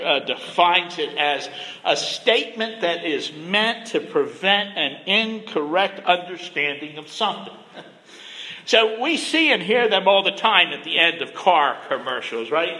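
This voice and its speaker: A middle-aged man preaching a sermon as a pastor, soft-spoken, gentle and measured. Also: a little high, big-room sound, poor audio. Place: church sanctuary.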